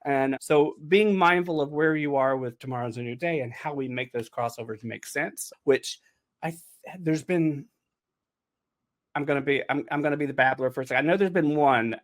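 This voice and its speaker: A man's voice: mid-range voice, normal speed. A man hosting a casual behind-the-scenes podcast chat, jokey and rambling, with some casual swearing.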